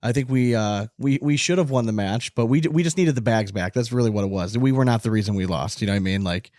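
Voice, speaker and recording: A man's voice: mid-range voice, fast, a little mellow, no room sound. A man co-hosting a podcast in casual, informal chat, loosely swearing here and there. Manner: informal, lightly profane. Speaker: podcast co-host.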